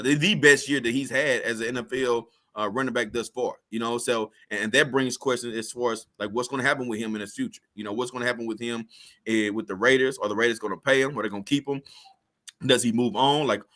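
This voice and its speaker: A slightly hoarse man co-hosting a casual sports podcast, loose and repetitive, full of "you know what I mean.". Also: mid-range voice, brisk, pushed and bright.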